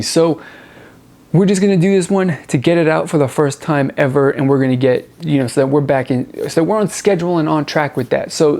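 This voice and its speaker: A man's voice: on the high side, vocal fry, a little mellow. A man hosting a music review show, talking casually and off the cuff to viewers, rambling and repeating words for emphasis.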